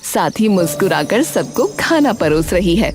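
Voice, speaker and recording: A woman's voice: medium pitch, sing-song, a little slow, music in the background. A woman delivering scripted narration for a cartoon story.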